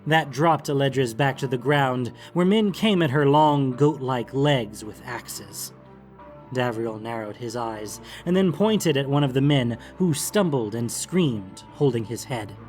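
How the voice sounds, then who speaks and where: A man's voice: mid-range voice, lively intonation, a little slow, darkish tone, soft background music. A man reading scripted, dramatic fantasy narration as an audiobook narrator.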